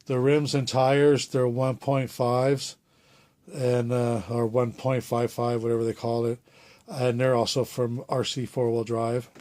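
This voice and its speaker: A man with a cold and a phlegmy throat, talking through a hobby build update in a halting, unscripted way with many fillers. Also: medium pitch, a little mellow, dry recording. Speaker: RC hobbyist showing his build.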